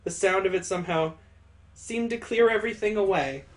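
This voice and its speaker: A let's play host with a mid-pitched voice reads a visual novel aloud and comments on it. The delivery is jokey and sweary, with loud reactions and a readiness to laugh. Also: a little fast.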